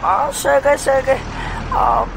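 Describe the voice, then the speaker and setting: A man playing a weary traveller in an audio drama, speaking in halting, drawn-out exclamations. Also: very high voice, steady tone, creaky, background noise, heavily acted. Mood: nervous compliance. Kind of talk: Philippine audio drama acting.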